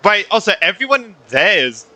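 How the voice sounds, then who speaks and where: Bright, excitable young man streaming games. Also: high-pitched, normal speed, a little hiss or hum. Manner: excitable, rambling, giggly. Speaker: gaming streamer. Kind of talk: casual live game commentary and reactions.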